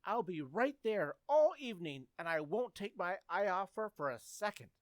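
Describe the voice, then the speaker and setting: An earnest teenage boy played by a woman in a radio comedy. The mid-range voice is polite and bouncy, with lively intonation. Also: medium pitch, normal speed, dry recording, natural voice. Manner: earnest, gee-whiz. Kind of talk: radio comedy stage acting.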